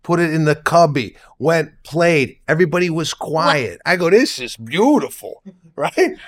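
A man's voice: on the high side, animated, moderate pace. A man hosting a casual talk show, a comedian and father who rambles with jokey comparisons. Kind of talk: casual chat.

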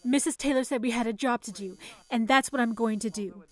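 High-voiced young female telephone operator, played by an actress, dutiful, anxious, caring, in vintage-style radio drama. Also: a little fast, natural voice. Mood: earnest, concerned. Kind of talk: 1930s-style radio play acting.

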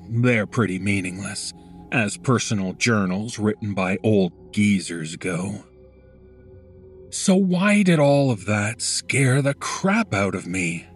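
Smug male killer, horror narration, sardonic, unhurried, crude, faint music behind. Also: on the low side, lively intonation. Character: callous, smug male killer confiding in the listener. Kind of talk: first-person horror audio story narration.

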